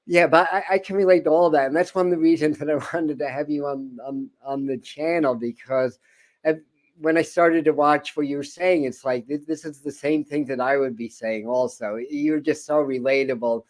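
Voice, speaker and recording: A man's voice: a little high, fairly even intonation, moderate pace. A man hosting an interview channel, talking casually in a rambling way with many stammered repeats and chuckles. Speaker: host.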